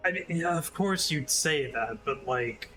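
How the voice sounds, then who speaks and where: A man's voice: a little high, expressive, measured pace, pushed and bright, faint music behind. A man giving casual, wry and sarcastic livestream commentary on a game. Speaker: game streamer.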